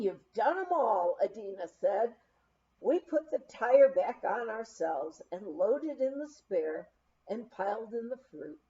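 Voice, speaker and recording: A woman narrating a memoir as an audiobook, reading in a measured, expressive storytelling way with deliberate phrasing. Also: on the high side, muffled recording.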